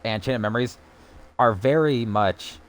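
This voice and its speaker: A man's voice: mid-range voice, moderate pace. A man hosting a live game stream, chatting casually and giving his opinions with enthusiasm. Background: faint game music.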